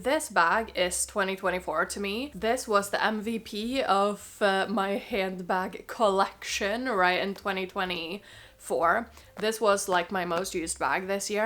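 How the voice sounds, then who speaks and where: Woman fashion vlogger, mid-pitched and slightly stuffed up with a cold; conversational, emphatic, casual review delivery. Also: fairly bright tone. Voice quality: slightly nasal. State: has a cold. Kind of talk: casual chatty review to camera.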